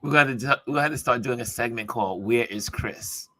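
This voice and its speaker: A man hosting a casual livestream chat in a chatty way, his voice hoarse from talking. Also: mid-range voice, a little fast, fairly bright tone. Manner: chatty, greets viewers by name. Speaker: talk show host.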